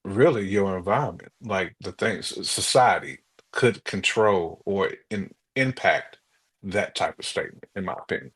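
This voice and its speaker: Husky, deep-voiced American man chatting casually. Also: animated, moderate pace, fairly bright tone. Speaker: podcast co-host. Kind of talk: casual podcast conversation.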